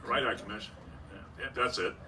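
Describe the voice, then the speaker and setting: A man's voice: on the low side, normal speed, bright, forceful tone. An actor playing a chatty, folksy small-town barber who needles his customer in an old-time radio comedy. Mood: needling. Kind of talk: Golden Age radio comedy acting. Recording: distant stage mic, faint noise.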